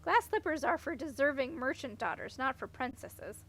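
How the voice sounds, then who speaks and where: A woman's voice: high voice, expressive, moderate pace, a bit bright. A woman voicing a headstrong, practical young princess in a storybook, with a frank, questioning, matter-of-fact manner. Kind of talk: audiobook character voice.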